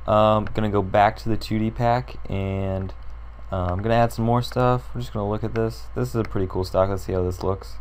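A hoarse young man with a sore throat casually talking through a screen-recorded design tutorial, rambling and thinking aloud with many fillers. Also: fairly low pitch, fairly even intonation, brisk, slight background noise. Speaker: tutorial creator.

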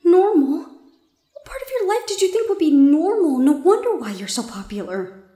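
A woman playing a shy, easily flustered young gorgon student in an audio roleplay, speaking in halting, exclamatory questions. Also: sits high, very animated, dark tone, no room sound, studio quality. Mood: flustered, incredulous. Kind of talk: audio roleplay drama acting.

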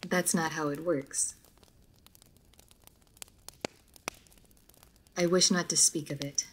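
A reserved noble vampire lady played by a woman speaks in a low voice with measured, formal phrasing. She is guarded and melancholy, as in an intimate roleplay audio drama. There is audible noise behind her. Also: steady tone, close to an everyday voice, poor audio. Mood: cold, guarded.

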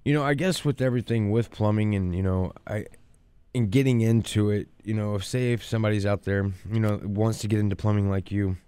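A man's voice: lowish voice, fairly even intonation, brisk, mellow, clear, resonant tone, dry recording. A man hosting a radio talk show, easygoing, prompting his guest with lots of "you know.". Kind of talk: casual radio interview. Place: radio studio.

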